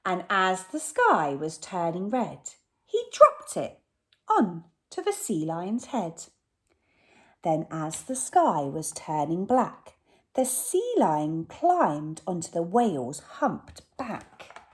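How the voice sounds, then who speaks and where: Woman teacher, storytime reading, rhyming picture book, animated, whispery moments, slow pace. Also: on the low side.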